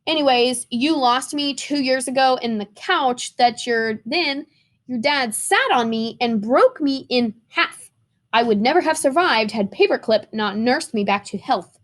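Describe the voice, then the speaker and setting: A woman's voice: sits high, animated, a little slow. A woman voicing a wronged, broken storybook crayon that is sardonic but hopeful, telling its woes bluntly. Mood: aggrieved, pleading.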